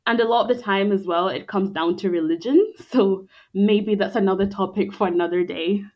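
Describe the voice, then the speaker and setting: A woman's voice: mid-range voice, normal speed, highs cut off. A woman in her twenties from Scotland, born in Zimbabwe, giving reflective, conversational answers as an interview guest. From born in Zimbabwe, grew up in Scotland. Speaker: podcast guest, psychology and counselling graduate.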